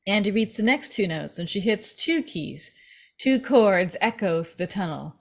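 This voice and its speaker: A woman's voice: mid-range voice, animated, moderate pace. A woman reading stage directions as narrator in a scripted table read.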